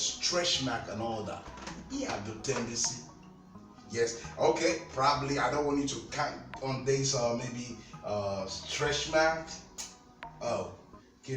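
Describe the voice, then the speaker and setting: A man with a Nigerian accent talks to camera about skincare, his pitch swinging up and down as he repeats himself. He sounds a little off-mic in a roomy, echoey space. Faint music plays underneath. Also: far from the mic. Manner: animated, repetitive, talks straight to viewers. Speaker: skincare seller. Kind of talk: casual product talk to camera.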